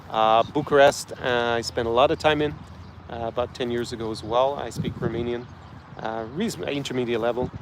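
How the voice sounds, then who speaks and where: A man's voice: mid-range voice, a little hiss or hum. A man with an Irish accent, hosting a frank, rambling talk to camera outdoors on a city street. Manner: rambling, frank, with frequent ums and restarts. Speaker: travel and lifestyle guide host.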